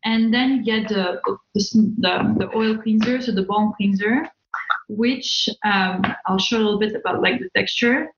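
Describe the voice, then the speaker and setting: A woman of average pitch hosts a casual interview and product review. Her manner is effusive and informal. The sound is echoey and slightly muffled. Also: normal speed. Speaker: podcast host. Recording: roomy, slightly dull sound.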